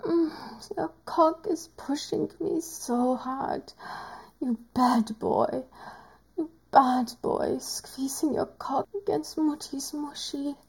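A woman voicing a teasing, doting woman doctor in an adult audio roleplay, speaking in a slow, whispery, drawn-out way. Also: high voice, fairly even intonation, vocal fry, exaggerated voice. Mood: sultry teasing.

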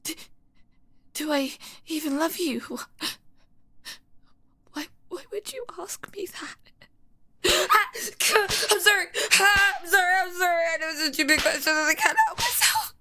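A woman acting a frightened, clingy young woman who pleads with her partner in tears, with nervous laughs and breathy whispers. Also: high voice, huge pitch swings, brisk, pushed and bright, full character voice. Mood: tearful pleading. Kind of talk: audio roleplay acting.

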